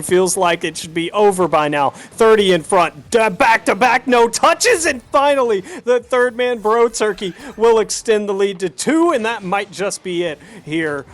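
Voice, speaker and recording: Male game commentator with a somewhat high voice for live esports coverage. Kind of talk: live Rocket League commentary.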